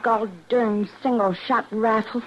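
A feisty young cowgirl, high voice, brash rustic talk, muffled noisy old radio show. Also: steady tone, slow. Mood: prickly, sullen. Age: young adult. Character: defiant, feisty young Texas panhandle cowgirl. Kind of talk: 1950s radio western acting.

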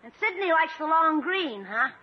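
Cheeky schoolboy nephew, very high lively voice, wisecracking and slyly innocent, vintage radio comedy on a muffled recording. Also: moderate pace, very noisy. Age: child figure. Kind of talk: 1950s radio comedy acting. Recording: muffled old radio broadcast.

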